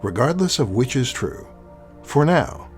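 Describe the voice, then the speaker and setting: A man's voice: medium pitch, very animated, a little slow, faint music behind. A man delivering scripted narration as the announcer of an audio show.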